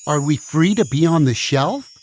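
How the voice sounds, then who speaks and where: Animated, eager woman as a storybook puppet. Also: sits low, moderate pace, a little hiss or hum. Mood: anxious, puzzled. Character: wide-eyed, eager banned storybook puppet. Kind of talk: stage puppet musical acting.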